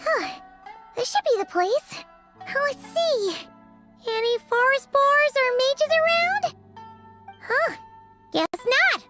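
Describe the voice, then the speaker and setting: Squeaky, very high childlike fairy companion. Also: female voice, very animated, slow, pauses often, bright, forceful tone, faint music behind, heavily acted. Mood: curious, musing. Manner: refers to herself in third person, exclamatory. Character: Paimon, tiny excitable childlike fairy companion, chatty. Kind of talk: animated video game voice acting.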